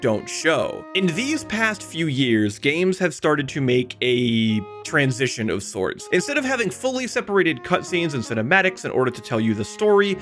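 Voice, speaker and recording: A slightly high-voiced man narrates a scripted video essay with a very lively, swinging intonation. He keeps it conversational and drops in wry asides, with music playing underneath. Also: normal speed, hardly any pauses.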